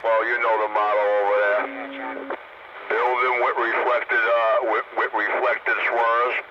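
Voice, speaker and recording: A man's voice: mid-range voice, little pitch movement, unhurried. A brash, slangy man, bantering casually as a CB radio operator over a radio link. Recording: noisy muffled CB radio transmission.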